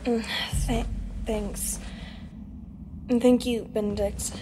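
A woman as a high-voiced, earnest fire mage. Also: flat delivery, takes it slowly, noisy background. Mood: shaken, grateful. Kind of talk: fantasy audio drama acting.

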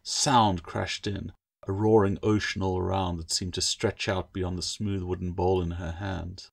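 Low gravelly guy narrating an audiobook, dramatic storytelling. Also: flat delivery, unhurried. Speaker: author reading own novel.

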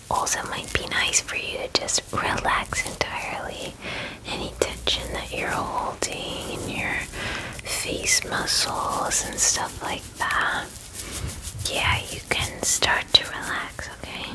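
Slow, whispering young woman. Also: rough recording. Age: 20s. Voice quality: breathy, unvoiced whisper. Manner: gentle, soothing, unhurried reassurance. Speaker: ASMR artist playing a spa groomer. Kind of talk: whispered ASMR roleplay, personal attention. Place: small room at home, indoors. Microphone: close microphone, near the camera.

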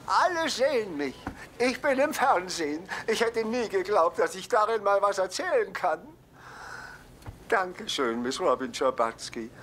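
An actor dubbing an elderly horse-cab driver who slowly reminisces about his working years. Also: high voice, animated, fairly bright tone, a little hiss or hum. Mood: nostalgic. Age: older. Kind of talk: German dub of a sitcom.

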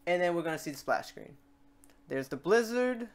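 A man hosting a software tutorial in a casual, chatty way, talking through each step aloud. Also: high voice, animated, normal speed. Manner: informal, chatty, talks through steps aloud. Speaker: tutorial host.

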